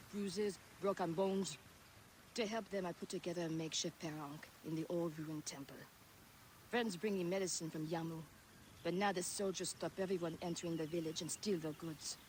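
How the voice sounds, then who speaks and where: A woman playing a kindly, steadfast ancient Egyptian village healer in a video game cutscene, earnest and deliberate in delivery. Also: fairly low pitch, a little hiss or hum, natural voice, rough recording.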